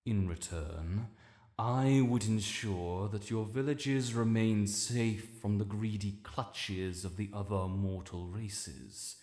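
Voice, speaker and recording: A man's voice: on the low side, slow, a little mellow. A man with a British accent playing an ancient, proud male dragon lord in a fantasy audio roleplay, speaking formally and imperiously to the listener. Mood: grave, stately. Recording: roomy with long cavernous reverb.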